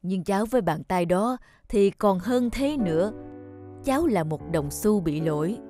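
A man voicing a stern but kindly middle-aged contest judge in a cartoon, measured and formal. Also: high voice, lively intonation, mellow, soft background music. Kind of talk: cartoon voice acting.

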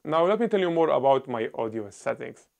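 A man in his thirties giving a scripted gear review on a small indoor set, talking loudly and emphatically into a lapel mic. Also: medium pitch, sing-song, brisk, vocal fry. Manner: loud, emphatic delivery.

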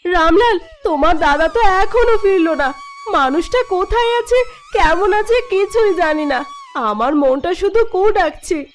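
Very high-pitched, pleading village wife voiced by a woman. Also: animated, a little slow. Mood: worried. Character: distraught, devoted village wife fretting over her missing husband. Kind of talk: Bengali fairy tale cartoon dubbing.

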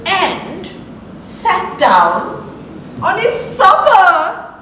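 A woman's voice: very high voice, huge pitch swings, very slow, a bit bright. A middle-aged woman, reading expressively to children as a storytelling host. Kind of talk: expressive read-aloud for children. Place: living room sofa, indoors. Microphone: lapel mic. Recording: very echoey room, background noise.

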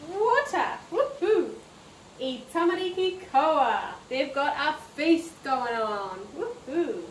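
High-voiced New Zealand woman teaching small children. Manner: animated, encouraging, sing-song teacher talk with drawn-out words. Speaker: preschool teacher and story time host. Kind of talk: interactive children's story time lesson. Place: preschool room, indoors. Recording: roomy, distant mic, background noise.

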